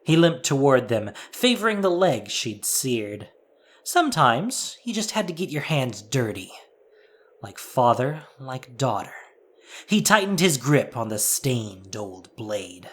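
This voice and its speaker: Male narrator with very varied pitch. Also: big pitch swings, takes it slowly. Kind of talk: dramatic scripted story narration.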